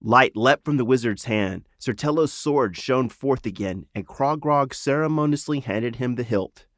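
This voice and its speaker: A man's voice: mid-range voice, a little slow, no room sound, studio quality. A man reading his own children's fantasy book as a scripted storybook narration.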